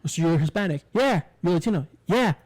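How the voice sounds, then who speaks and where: A man's voice: high-pitched, lively intonation, brisk, darkish tone. A man from New York co-hosting a podcast, talking in a rambling, opinionated way with swearing and restarts. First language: English. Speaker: podcast co-host. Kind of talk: casual chat.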